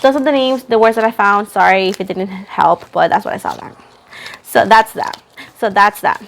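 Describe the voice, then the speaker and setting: A woman's voice: medium pitch, normal speed, gravelly, a little hiss or hum. A woman giving a casual, chatty tarot reading, rambling in a self-deprecating way and repeating phrases for emphasis. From Puerto Rico. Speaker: tarot reader, host.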